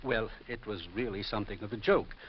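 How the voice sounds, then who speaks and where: Actor as lowish-voiced, proper businessman. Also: fairly even intonation, crowd noise, natural voice. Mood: frank. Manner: measured, formal, cooperative. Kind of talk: 1950s radio drama acting. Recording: muffled, hissy vintage radio recording.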